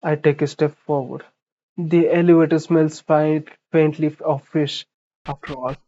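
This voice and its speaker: A streamer reading visual novel narration aloud in a mid-pitched voice, with casual asides. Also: little pitch movement, measured pace, mellow, highs cut off.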